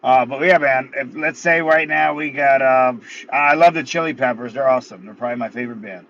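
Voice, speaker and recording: A man's voice: medium pitch, brisk, a bit bright, highs cut off. A man in his thirties, a fighter being interviewed, laid-back and blunt, swearing casually. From United States. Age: 30s. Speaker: guest, MMA fighter. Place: in his car.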